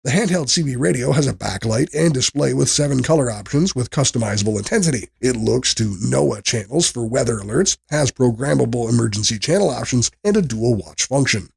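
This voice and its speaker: A man reading a scripted product review as a narrator, with a husky, gravelly voice. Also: medium pitch, lively intonation, brisk, hardly any pauses, darkish tone.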